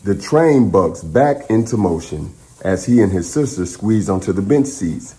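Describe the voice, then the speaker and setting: Male kids' storytime host, lowish voice, very lively intonation, encouraging and expressive. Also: unhurried. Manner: encouraging, expressive, addresses children directly. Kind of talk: children's read-aloud and upbeat chat to young viewers.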